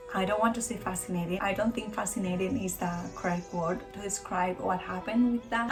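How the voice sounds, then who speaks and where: A Spanish-accented woman from Spain, a native Spanish speaker, talks through her book haul in a chatty, eager way, circling back to reword her thoughts. Her voice sits at a middling pitch for a woman. Music plays clearly underneath her. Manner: chatty and enthusiastic, often rephrasing mid-sentence. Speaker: book vlogger. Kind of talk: casual book haul talk to camera.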